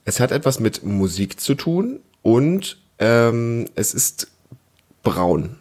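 A man's voice: medium pitch, sing-song, slow, a little mellow. A man hosting a casual family podcast, chatting in a patient, encouraging way and hesitating as he explains. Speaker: podcast host.

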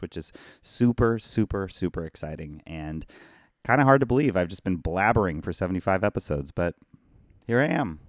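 A hoarse, tired man hosting a casual live-streamed podcast from his phone, rambling and chatty as he thanks viewers by name. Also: fairly low pitch, no echo, studio quality. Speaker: podcast host.

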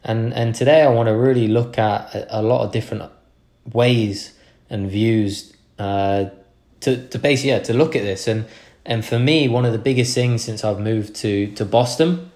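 A man's voice: fairly low pitch, steady tone, measured pace, darkish tone. A young man hosting a podcast, talking through a casual motivational monologue in an earnest, rambling way with frequent restarts. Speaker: podcast host.

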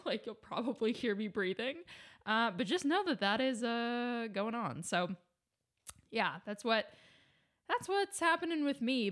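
Chatty woman hosting a podcast, with a cold. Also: medium pitch. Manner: chatty, frequent "like" and "right?". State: slightly congested with a cold. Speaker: podcast host. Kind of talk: casual solo podcast ramble.

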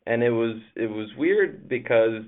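A man's voice: mid-range voice, animated, unhurried, phone-quality sound. A man in his thirties from Puerto Rico and the US East Coast, telling stories casually and self-deprecatingly as an interview guest. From Puerto Rico, Dominican Republic and US East Coast. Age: 30s. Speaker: podcast guest, Navy veteran in commercial real estate.

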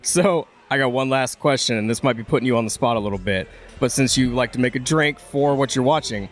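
A man's voice: mid-range voice, brisk. A man interviewing podcast hosts in a casual, conversational chat about movies.

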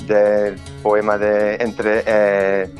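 A man from Scotland speaking Spanish as a second language, a flamenco guitarist being interviewed, who talks haltingly with many restarts and fillers. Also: on the low side, completely flat delivery, a little mellow. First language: English. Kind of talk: casual interview. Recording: faint guitar music behind.

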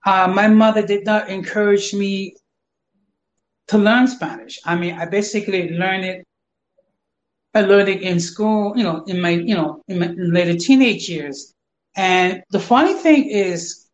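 An older man, a guest on a livestream, speaks in an earnest, measured and slightly formal way. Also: sits high, muffled recording. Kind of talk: casual chat, earnest thanks.

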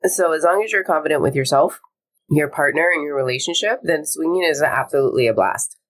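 Low-voiced woman, frank and laughing. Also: fairly quick. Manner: frank and blunt, laughs often. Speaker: podcast co-host. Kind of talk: casual chat.

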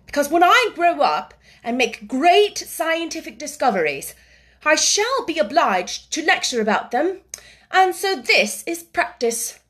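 A woman plays a young boy from a storybook, pitched up high. He is earnest and self-important, declaiming proudly like a little lecturer. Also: big pitch swings, unhurried, a bit bright, exaggerated voice.

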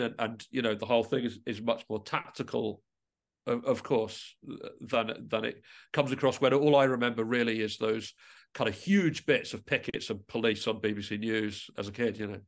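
A middle-aged man speaking as an interview guest in a conversational way, thoughtfully and with hesitant rephrasing. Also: mid-range voice. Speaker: guest musician, composer and writer.

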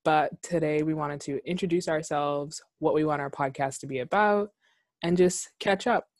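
Low-voiced young woman chatting casually. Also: normal speed, darkish tone, no echo, studio quality. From Bahamas. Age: 20s. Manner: chatty, laughs easily. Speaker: podcast co-host. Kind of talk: casual chat. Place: her own room.

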